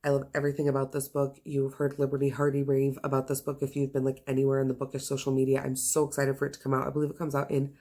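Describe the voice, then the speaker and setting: A woman with a low-pitched voice talks through book picks in a casual vlog style. Her delivery is enthusiastic and emphatic, like a host recommending favorites to viewers. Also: monotone, very fast, hardly any pauses, dark tone.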